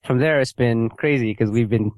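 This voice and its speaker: A man's voice: mid-range voice, moderate pace, a little mellow, no echo. A man from California with an American accent, chatting as a guest on a remote call with many fillers and restarts. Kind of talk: casual interview over a remote call. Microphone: remote call setup.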